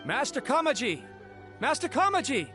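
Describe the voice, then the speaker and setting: Nervous, deferential young soldier. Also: male voice, extremely high pitch, huge pitch swings, moderate pace, music in the background, heavily acted. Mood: calling out. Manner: stammering, very polite. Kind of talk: video game voice acting.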